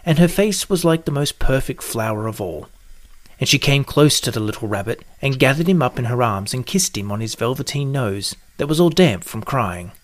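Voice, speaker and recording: Calm male storybook narrator. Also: medium pitch.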